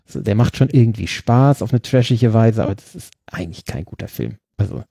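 Relaxed, husky German man chatting. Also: on the low side, brisk, no room sound, clean studio sound. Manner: chatty, hesitant, many fillers and laughs. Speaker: podcast co-host. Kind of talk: casual chat about films.

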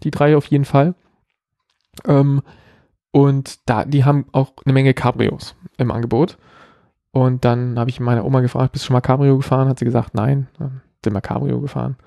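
A middle-aged man with a light Berlin accent and a dark, gravelly voice. He co-hosts a casual podcast, rambling and mocking himself as he tells stories. The sound is dry and close. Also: mid-range voice, flat delivery, normal speed, no room sound. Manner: rambling, self-mocking storytelling. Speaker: podcast co-host.

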